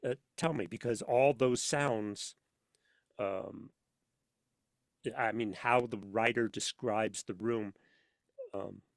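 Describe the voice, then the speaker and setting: A man chatting casually as an interview guest, telling anecdotes in an animated way and acting out bits of dialogue. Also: fairly low pitch, slow, gravelly, long pauses, no room sound, studio quality. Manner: animated storyteller, acts out imagined dialogue. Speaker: podcast guest, sound designer for audio dramas. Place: inside a studio.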